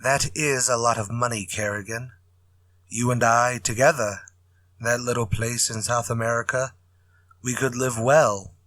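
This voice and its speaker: A man's voice: mid-range voice, fairly even intonation, measured pace, fairly bright tone, dry recording. A man playing a desperate, scheming character in an old-time radio horror drama. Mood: coaxing.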